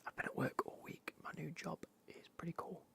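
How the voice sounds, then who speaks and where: A man's voice: fairly quick, pushed and bright. Whispering man with a cold. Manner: whispered, chatty, repeats phrases. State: has a cold coming on. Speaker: gaming streamer. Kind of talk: whispered ASMR gaming livestream.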